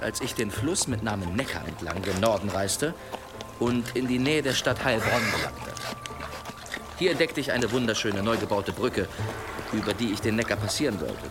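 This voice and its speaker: Music behind, courteous wandering storyteller, animated melody, unhurried, polite, radio drama. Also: male voice, mid-range voice. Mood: courteous, earnest. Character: courteous male traveller telling a sly tale. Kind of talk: German radio play acting. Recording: music under the voice.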